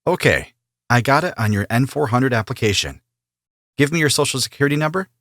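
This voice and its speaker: A man playing an immigration officer who asks formal, steady questions in a scripted practice interview. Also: mid-range voice, fairly quick, dry recording, clean studio sound. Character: male immigration officer, businesslike and neutral. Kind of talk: scripted role-play interview.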